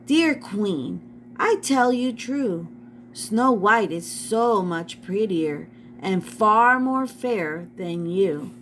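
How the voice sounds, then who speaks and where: A woman's voice: medium pitch, lively intonation, very slow, slight background noise. A woman voicing a truthful, formal talking magic mirror in a storybook reading. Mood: frank, formal. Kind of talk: storybook read-aloud.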